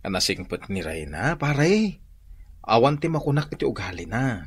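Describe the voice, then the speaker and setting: A man's voice: medium pitch, sing-song, moderate pace, breathy edge. A man playing a loyal, slightly boastful young husband in a comedy radio drama, bantering conversationally. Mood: defensive, proud.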